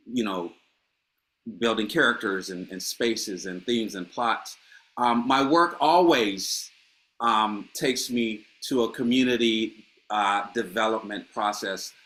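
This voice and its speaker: A man's voice: mid-range voice, pushed and bright. A middle-aged man from Honduras, a playwright speaking reflectively in a panel conversation, with many restarts and fillers.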